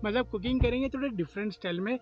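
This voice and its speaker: A man's voice: extremely high pitch, fast, muffled recording, loud music behind the voice. A man in his thirties chatting casually to viewers while cooking outdoors beside a camper van at a lake, upbeat and talkative. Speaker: travel vlogger.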